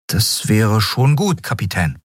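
A man's voice: lowish voice, sing-song, unhurried, husky, dry recording, studio quality, voice pushed up, heavily acted. A man voicing an awkward, likeable boy deckhand in an audiobook, eager, polite and hesitant.

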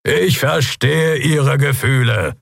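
A man voicing a stern, unshakeable nonhuman guard captain in a fantasy audiobook, loud, formal and commanding. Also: slow, vocal fry, no echo, studio quality, full character voice. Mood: stern, defensive. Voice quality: loud, booming.

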